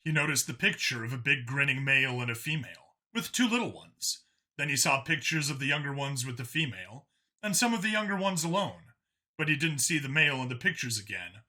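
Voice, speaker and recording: A man's voice: medium pitch, pushed and bright. A man reading scripted science fiction narration as an audiobook narrator.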